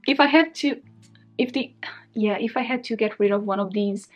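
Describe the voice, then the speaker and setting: A woman's voice: medium pitch, moderate pace. A young woman, chatting casually to camera as a book vlogger, musing indecisively and laughing often. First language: Portuguese. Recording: faint guitar music behind.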